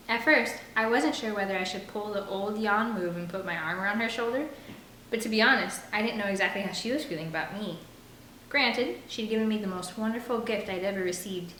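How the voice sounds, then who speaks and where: A woman's voice: mid-range voice, a bit bright. Woman, audiobook reading, first-person narration, mid-range voice, roomy echo, faint background noise. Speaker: audiobook reader.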